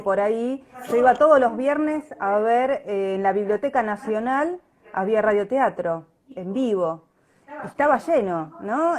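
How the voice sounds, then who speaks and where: A middle-aged Argentine woman with a fairly high voice talks as an interview guest on a video call. She is conversational, breaking off into asides and often checking in with a short questioning tag. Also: a little mellow. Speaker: actress being interviewed. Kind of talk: conversational reminiscing and opinion.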